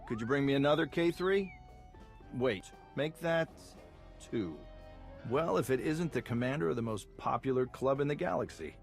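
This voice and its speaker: An actor playing a suave, flirtatious Republic spy in a video game, smooth-talking and casual. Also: male voice, mid-range voice, animated, moderate pace, faint music behind. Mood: flirtatious, breezy. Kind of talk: video game cutscene acting.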